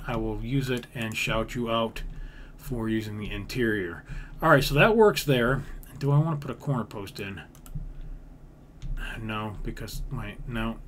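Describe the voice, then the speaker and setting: A man with a mid-pitched voice gives casual game-building commentary, thinking aloud as he goes. He often repeats himself and talks in folksy grammar. There is some faint noise and keyboard clicking behind him. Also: a bit bright. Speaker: gaming video creator. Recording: background noise with keyboard typing.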